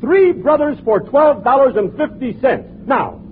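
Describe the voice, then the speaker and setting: A flustered, boastful salesman in a 1940s radio comedy. His very high voice swoops up and down as he stammers and blusters. The sound is a tinny, slightly hissy old broadcast. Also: expressive, unhurried. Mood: boastful, blustering. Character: flustered, boastful male salesman pushing a singing act.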